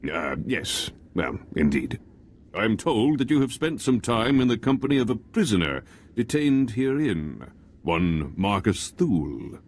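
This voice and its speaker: A man's voice: fairly low pitch, big pitch swings, unhurried, slight background noise. An actor playing a haughty aristocratic nobleman in a video game, speaking in a formal, pompous, indignant way. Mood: stiffly formal. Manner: pompous, formal, ornate wording. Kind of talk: video game cutscene acting.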